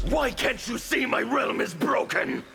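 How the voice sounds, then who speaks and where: Gravelly, scarred revolutionary zealot for a fighting game, bright forceful edge, slow defiant delivery. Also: male voice, extremely high pitch, a little hiss or hum, exaggerated voice. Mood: impassioned, furious. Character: bitter fanatical male revolutionary of chaos, scarred and menacing. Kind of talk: video game fight banter.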